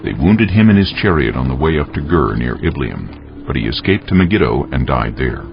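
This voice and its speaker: Very deep, gravelly male narrator. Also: sing-song. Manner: solemn, measured storytelling. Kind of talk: scripted dramatic scripture narration. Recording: dull audio with music underneath.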